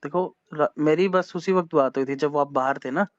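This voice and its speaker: A man's voice: fairly high pitch, normal speed, no echo. A man on a phone call, asking questions in a polite, deferential way. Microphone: telephone. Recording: dull lo-fi recorded phone call.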